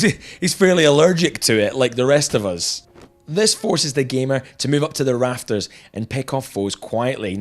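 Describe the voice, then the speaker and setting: A man narrating a scripted video game review with jokey, chuckling asides. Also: mid-range voice.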